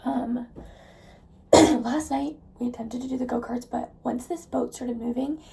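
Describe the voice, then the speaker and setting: Hoarse, gravelly young woman vlogging. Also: fairly high pitch, steady tone, measured pace, dark tone. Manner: chatty and rambling, with many fillers. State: hoarse, losing her voice. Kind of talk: casual vlog chat.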